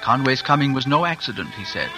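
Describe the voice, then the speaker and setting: A man narrating a radio drama in a calm storytelling manner. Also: mid-range voice, quick pace, over music. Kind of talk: scripted narration. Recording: old radio broadcast with score.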